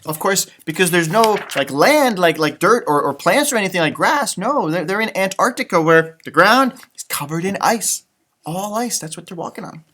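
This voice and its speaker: A man reading a children's picture book aloud in an expressive, teacherly way, with casual chatty asides. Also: high-pitched, normal speed, a little hiss or hum. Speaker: storybook reader, elementary school teacher.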